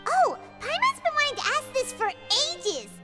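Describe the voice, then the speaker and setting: Childlike fairy companion, very high squeaky voice, lively swooping pitch, excitable, curious, game dialogue. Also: female voice, measured pace, heavily acted. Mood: hesitant, curious. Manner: refers to self in third person, expressive. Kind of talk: video game voice acting. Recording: loud music behind the voice.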